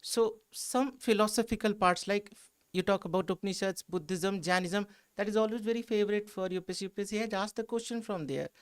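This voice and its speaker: A man's voice: high voice, steady tone, fairly quick, clear, resonant tone. A man with an Indian accent giving an explanatory, emphatic exam analysis as a teacher. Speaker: history teacher at exam coaching institute.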